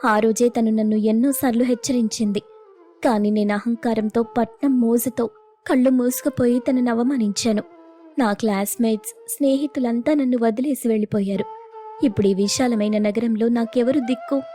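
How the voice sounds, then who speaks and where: A woman's voice: on the high side, steady tone, normal speed, dark tone, faint music behind, close to an everyday voice. A woman voicing a desperate, remorseful young city girl, pleading and blaming herself in a moral story. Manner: pleading, self-reproaching. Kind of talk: dramatised moral story acting.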